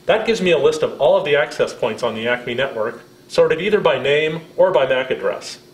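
A man's voice: on the high side, animated, moderate pace, reverberant, low-quality recording. A man in his fifties with an American accent gives a scripted product demonstration in a friendly, instructional way. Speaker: product presenter.

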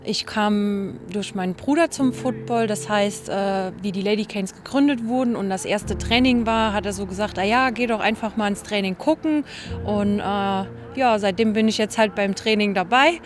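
A woman in her late twenties who plays football, answering in a relaxed way with hesitations. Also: mid-range voice, moderate pace, music in the background. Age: 20s. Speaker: amateur football player. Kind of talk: casual interview answers.

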